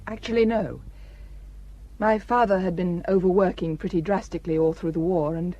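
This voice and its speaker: A woman acting a frank young village doctor with a quiet sadness in a radio drama. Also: mid-range voice, natural voice, poor audio.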